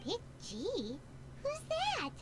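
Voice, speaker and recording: A woman voicing a tiny childlike fairy companion in a video game, squeaky and easily spooked. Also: huge pitch swings, very slow, exaggerated voice. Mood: puzzled.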